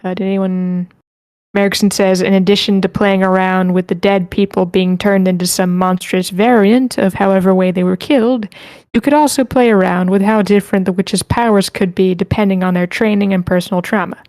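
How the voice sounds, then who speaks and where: A woman's voice: medium pitch, steady tone, fairly quick, a little mellow, no echo. A woman hosting an online group session, casually moderating the chat and reading comments aloud. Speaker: host of an online script table read.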